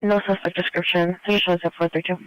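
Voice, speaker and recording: A man's voice: sits high, flat delivery, fast talker, fairly bright tone, no room sound, low-quality recording. A man on a police radio, giving a clipped, businesslike report. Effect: police radio transmission.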